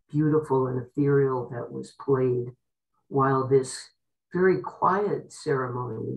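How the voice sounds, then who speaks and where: Gravelly, reflective woman on a video call. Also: very deep voice. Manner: halting, reflective. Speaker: former member of a women's arts colony. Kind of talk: informal reminiscence on a group video call. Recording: video call, distant microphone.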